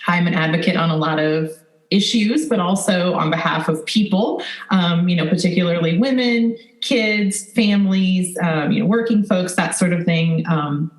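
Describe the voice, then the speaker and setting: Middle-aged Midwestern American woman, podcast guest, lowish voice, informal storytelling, roomy echo. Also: moderate pace. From rural southwest Iowa, United States. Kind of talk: casual interview talk. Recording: echoey room sound.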